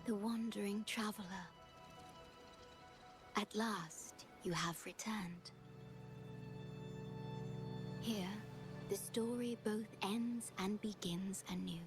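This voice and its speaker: A tender, mysterious female guardian from a video game. She speaks slowly and solemnly in a breathy, gentle voice, with music playing behind her. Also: mid-range voice, fairly even intonation.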